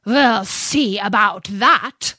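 A woman's voice: medium pitch, extremely slow, sharp tone, no echo. A woman voicing a menacing wolf in a storybook, snarling and taunting. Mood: taunting menace.

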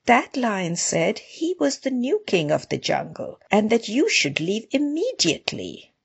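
A woman's voice: medium pitch, wildly swooping pitch, unhurried, vocal fry, slightly rough, pitched above the performer's own voice, exaggerated voice. A woman voicing a small, meek but clever rabbit, polite and pleading, in a storybook tale.

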